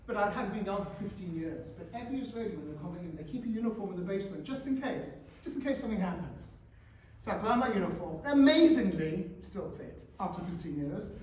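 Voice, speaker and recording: A man's voice: sits high, fast. Man lecturing in an echoey school hall. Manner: animated, asks the audience questions. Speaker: guest speaker. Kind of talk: school talk to teenagers. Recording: echoey hall, speaker far from mic.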